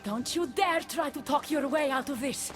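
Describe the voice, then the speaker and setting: An actress playing an imperious, suspicious tyrant goddess in a video game, haughty and accusing. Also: female voice, high-pitched, normal speed, soft background music, natural voice. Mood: angry, accusing. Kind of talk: scripted video game dialogue.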